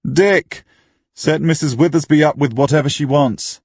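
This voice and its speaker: A man's voice: on the low side, big pitch swings, moderate pace, darkish tone, breathy edge, dry recording, pitched above the performer's own voice, exaggerated voice. A man voicing a sensible, challenging character in an audiobook, with a pointed, reasoning manner. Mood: calling out. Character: dry, sensible, challenging man in a village pub.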